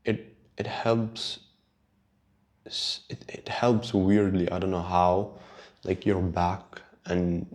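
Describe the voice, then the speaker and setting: Slow, low-voiced man with Urdu accent. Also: darkish tone. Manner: unhurried, halting, sweary. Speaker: podcast host. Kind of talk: casual rambling solo podcast chat.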